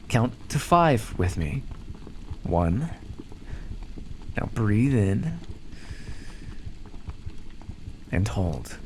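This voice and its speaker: A man playing a calm, condescending vampire captor in an ASMR roleplay, teasing and coaxing as he talks. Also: on the low side, a little hiss or hum, heavily acted. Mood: soothing. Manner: teasing, patronizing, coaxing, hesitant stammers. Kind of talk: ASMR roleplay, scripted monologue.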